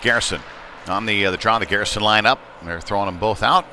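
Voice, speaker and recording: A man's voice: on the low side, fast, bright, forceful tone. Man calling hockey over rink noise. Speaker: play-by-play sports commentator. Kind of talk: live ice hockey commentary. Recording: noisy live rink broadcast.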